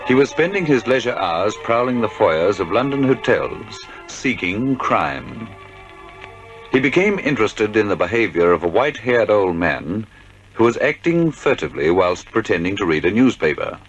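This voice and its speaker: A man's voice: lowish voice, very animated, normal speed, lo-fi sound, soft background music. A man giving scripted, dramatic narration of a crime story for a radio play.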